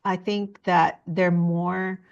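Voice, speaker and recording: Gravelly-voiced woman guest. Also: lowish voice, level tone, dull audio. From Indonesia. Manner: earnest, halting, with frequent fillers and restarts. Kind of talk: conversational interview.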